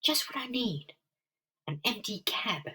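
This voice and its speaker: A man's voice: sits high, sing-song, unhurried, sharp tone, recorded from a distance, voice pushed up, full character voice. A man voicing an earnest, determined young superheroine in a dramatic comic-book reading. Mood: brisk, imperious.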